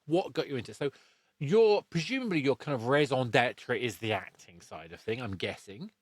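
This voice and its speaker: A man's voice: mid-range voice, animated, normal speed, slightly rough, no echo. A middle-aged man hosting a casual interview, chatty and rambling, often rephrasing mid-sentence. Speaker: podcast host.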